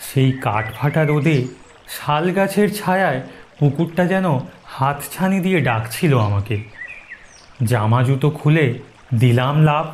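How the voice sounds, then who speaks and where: A man narrating a horror story in the first person, dramatic and suspenseful, with deliberate pauses. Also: on the high side, lively intonation, darkish tone, a little hiss or hum. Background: music under the narration.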